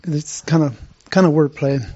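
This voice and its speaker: A man sharing thoughts in an unscripted church discussion, halting, with many restarts and fillers. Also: a little high, steady tone, dark tone. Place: room with a group. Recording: dull lo-fi sound.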